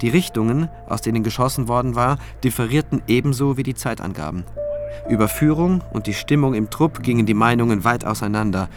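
A man's voice: mid-range voice, a little fast, over music, lightly acted. An actor playing a state prosecutor who narrates his case in a reflective, dry, observant first-person style for a radio drama. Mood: dry, sceptical. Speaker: narrator and lead character.

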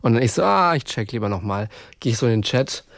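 A man's voice: medium pitch, quick pace, vocal fry. A young man speaking as a podcast co-host, informal and slangy, acting out his own thoughts as he talks. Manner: animated retelling with self-quotes and slang. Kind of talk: casual banter between co-hosts.

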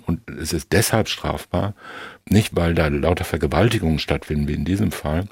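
A man talking analytically as a legal expert in a discussion, halting and deliberate, in long winding sentences. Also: low-pitched, a little mellow, slightly rough, no echo, studio quality.

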